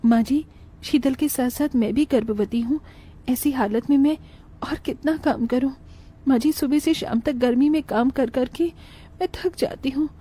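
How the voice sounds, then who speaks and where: Sweet hardworking young daughter-in-law for a cartoon story, soft mellow tone, a bit high, caring. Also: female voice, moderate pace, slightly rough. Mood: weary, pleading. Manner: earnest, caring. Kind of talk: Hindi animated moral tale voice acting.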